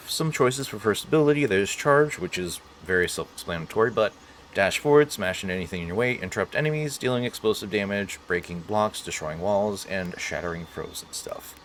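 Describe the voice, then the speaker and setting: Casting: male game streamer, deep voice, casual and playful, mock-heroic asides, clear game noise behind. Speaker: host of a game playthrough. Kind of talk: casual joking game commentary. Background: video game sounds.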